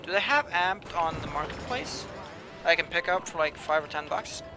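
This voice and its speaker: A young man with a slightly high, somewhat whiny voice talks casually to his viewers while he plays. He trails into quiet asides to himself, and music plays clearly behind him. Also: expressive, normal speed. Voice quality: says he has a whiny voice. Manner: chatty, mumbles asides to himself while playing. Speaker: game streamer. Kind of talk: casual live-stream commentary, reading chat. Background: background music.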